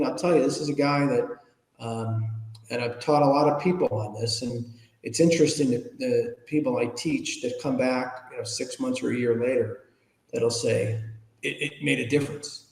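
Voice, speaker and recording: A man's voice: mid-range voice, darkish tone. A middle-aged man answering interview questions in a plain-spoken, conversational way, explaining with examples, in an echoey room. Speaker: podcast guest, ethics trainer and public speaker. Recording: echoey room with long reverb.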